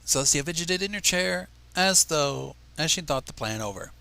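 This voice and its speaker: A man reads fanfiction aloud in a fairly high, creaky voice. His delivery is lively, and he drops in sarcastic, profane asides as the host. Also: normal speed. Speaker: fanfiction reader and livestream host. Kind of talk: dramatic reading with mocking asides.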